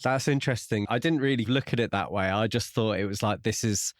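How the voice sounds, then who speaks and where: Lower-voiced man in casual discussion. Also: brisk, no room sound, clean studio sound. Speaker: podcast co-host reviewing books.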